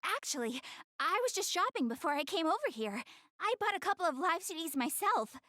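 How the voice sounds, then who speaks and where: A woman's voice: very high-pitched, fairly quick, dry recording, clean studio sound, heavily acted. A woman voicing a proud, easily flustered young goddess girl in an anime game dub, speaking expressively and directly to the player. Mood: casual, curious. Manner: expressive, hesitant when covering up embarrassment.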